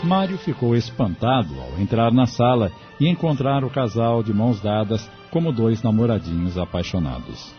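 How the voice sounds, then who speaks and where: A man's voice: on the low side, big pitch swings, moderate pace, hardly any pauses. A man narrating a scripted audio drama as a composed storyteller. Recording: dull lo-fi audio, music underneath.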